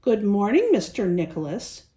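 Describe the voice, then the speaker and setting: Storybook bully: grumpy male sheriff dog, performed by a woman, gruff, mocking, very animated delivery. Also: mid-range voice, normal speed, natural voice. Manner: gruff, taunting, bossy.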